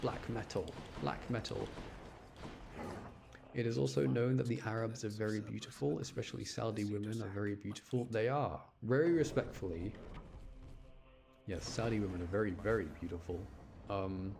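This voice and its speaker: A man's voice: on the low side, normal speed, creaky, noisy background. A young British man chatting casually and commentating on a game as he livestreams, rambling and often repeating his words and phrases. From United Kingdom. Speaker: game streamer. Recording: music playing behind the voice. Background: game music.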